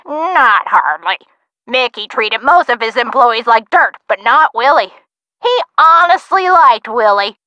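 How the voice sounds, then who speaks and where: A woman playing a feisty, sharp-tongued elderly woman who tells theatrical stories of her youth in a video game. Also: on the high side, clear, resonant tone, dry recording, dull audio. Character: feisty, sassy elderly woman, nostalgic for the twenties. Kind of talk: video game character acting.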